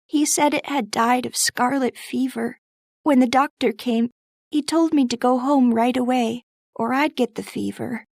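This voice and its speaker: A woman voicing a gentle, shy young girl who speaks softly and anxiously, in a storybook reading. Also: steady tone, measured pace, vocal fry, no echo, clean studio sound, exaggerated voice. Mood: anxious, sad. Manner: soft, anxious, higher-pitched.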